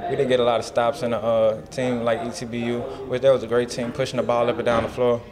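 Quick, flat-toned young male athlete. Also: mid-range voice. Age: early twenties. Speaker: college basketball player. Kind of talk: postgame interview. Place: indoors before a team backdrop. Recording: clear crowd noise behind.

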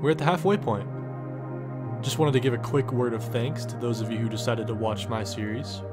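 A man speaks casually to viewers as the creator of a video series, signing off at a medium pitch. Clear music plays behind his voice. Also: a little fast. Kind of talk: casual outro thanks to viewers.

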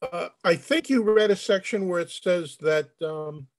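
A deadpan man asking questions as a panel participant on a video call. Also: high voice, measured pace, no room sound, studio quality. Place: indoors on a group video call.

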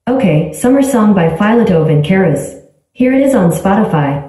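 A synthetic woman's voice from a smart speaker, giving even, polite, clipped replies. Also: mid-range voice, normal speed. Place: small tiled bathroom. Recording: echoey bathroom, heard from a distance.

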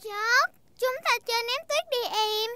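A woman's voice: very high-pitched, unhurried, very noisy. A woman voicing a cheerful, bossy little girl piglet in a children's cartoon dub, childlike, eager and chatty. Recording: music behind the voice.

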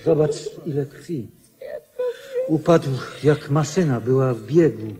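A man's voice: mid-range voice, takes it slowly, close to an everyday voice. A man playing a resigned, pitying factory worker in a radio drama. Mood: grim, sorrowful.

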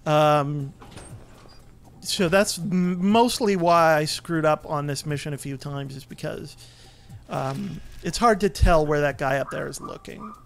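Male game commentator, slightly high voice, casual and explanatory, pauses mid-thought. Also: creaky.

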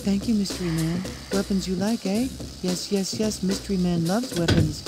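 A manic, childlike asylum inmate from a video game, acted by a man. The voice sits high and repeats itself in broken, eager phrases. Loud music plays under it. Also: normal speed, natural voice, low-quality recording. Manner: repetitive, broken syntax. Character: manic, childlike asylum patient seeking his teddy bear.

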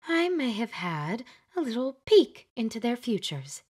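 A woman voicing a fussy, chattering older witch who plays matchmaker, bossy and brisk in an audiobook. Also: fairly high pitch, very animated, a little mellow, pitched above the performer's own voice. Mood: indignant. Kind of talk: audiobook character voice.

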